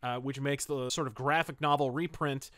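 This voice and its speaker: A man's voice: medium pitch, steady tone, moderate pace, fairly bright tone, dry recording, clean studio sound. A man hosting a solo review podcast, with a wordy, articulate and flourished way of talking. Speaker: podcast host.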